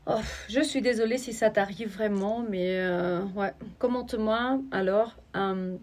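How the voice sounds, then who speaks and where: A woman giving a spiritual oracle card reading, talking straight to the viewer in a searching, halting way. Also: mid-range voice, darkish tone.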